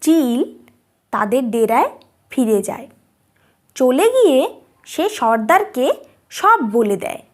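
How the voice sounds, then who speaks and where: A woman narrates a children's tale in a high voice. She takes it slowly, reading as a scripted storyteller for a cartoon. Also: big pitch swings.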